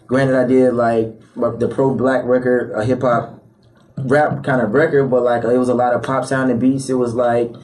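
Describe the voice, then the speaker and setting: A man's voice: medium pitch, normal speed, recorded from a distance. Laid-back young man, slightly off-mic. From Atlanta area, born in Chicago. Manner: relaxed, loose, lots of "like" fillers. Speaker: rapper guest. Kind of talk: casual interview chat. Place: small podcast studio with foam panels. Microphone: studio mic on boom arm.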